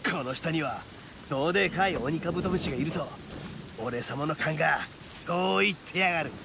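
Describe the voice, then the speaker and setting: A man voicing a boisterous, cocky young male oni in an anime-style game, with brash, rough, boastful speech. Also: on the high side, moderate pace, noisy background. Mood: excited, boastful. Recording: studio voice over game music. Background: background music.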